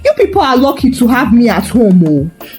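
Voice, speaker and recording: A woman's voice: fairly high pitch, big pitch swings, moderate pace, darkish tone, faint music behind. A woman storyteller voicing a pompous, lazy, self-important husband who complains and declaims. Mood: boastful.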